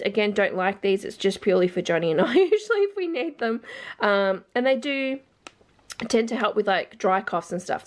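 A woman's voice: medium pitch, vocal fry. An Australian woman, a mother in her thirties, chatting casually to the camera at home as she shows off her grocery bargains, rambling and thinking aloud about prices. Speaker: vlogger mum showing a grocery haul. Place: home, indoors, carpeted floor. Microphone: camera held over products, filming hands.

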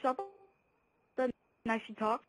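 A woman's voice: a little high, little pitch movement, moderate pace, no room sound. A woman talking casually over game party chat in an exasperated, confrontational way. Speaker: online game party player. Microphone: game console party chat.

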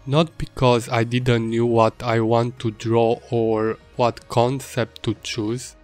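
A man whose first language is Hungarian, calmly narrating his illustration process as a voice-over. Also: mid-range voice. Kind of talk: voice-over narration of a drawing video. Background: faint music.

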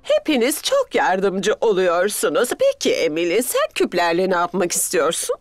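Very animated gazelle schoolteacher. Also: female voice, medium pitch, normal speed. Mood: encouraging. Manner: very expressive, questioning, encouraging. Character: kindly, encouraging lady gazelle schoolteacher. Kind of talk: children's cartoon dubbing. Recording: music behind the voice.

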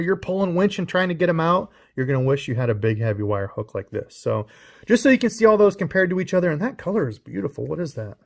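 A man's voice: a little high, breathy edge. A man hosting his own fishing channel, chatting casually as he unboxes and compares lures. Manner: chatty, explains gear while handling it. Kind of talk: casual unboxing show-and-tell.

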